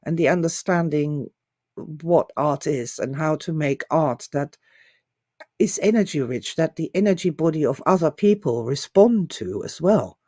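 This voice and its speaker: A woman's voice: deep voice, a little slow, mellow, no echo, clean studio sound. A middle-aged woman giving an informal, enthusiastic talk as an author and teacher, gushing and repeating phrases for emphasis.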